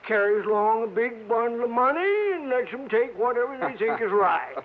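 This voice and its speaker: An actor playing a rowdy, friendly old ranch hand in a radio drama, with a folksy rural Western American accent. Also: male voice, very high-pitched, animated, moderate pace. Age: older. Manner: folksy, exuberant, cackling. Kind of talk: 1950s radio drama acting. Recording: tinny, noisy old radio recording.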